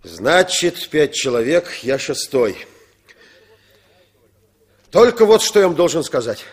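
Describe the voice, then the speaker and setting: A man's voice: fairly high pitch, sing-song, takes it slowly, husky, heavily acted. An actor playing a brash, blunt telephone lineman who pushes his way along in an old radio play. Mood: brisk, businesslike. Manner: blunt, colloquial, self-important. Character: brash, pushy male telephone lineman in a hurry. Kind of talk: 1960s Soviet radio play acting.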